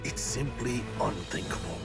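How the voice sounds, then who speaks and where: An actor playing a grave, patient warrior who speaks deliberately in a video game cutscene. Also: male voice, lowish voice, vocal fry, loud music behind the voice. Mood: grave, measured.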